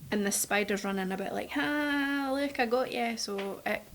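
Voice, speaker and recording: A woman's voice: fairly high pitch, normal speed, fairly bright tone, slight background noise. A woman, a guest on a podcast, chatting casually and telling her own stories. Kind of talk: casual chat.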